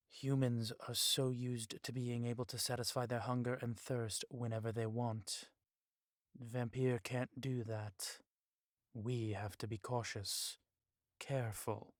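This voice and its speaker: An actor playing a stern, cautious vampire police chief in an audio drama, speaking gravely with some Spanish words mixed in. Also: male voice, mid-range voice, dry recording, studio quality, natural voice.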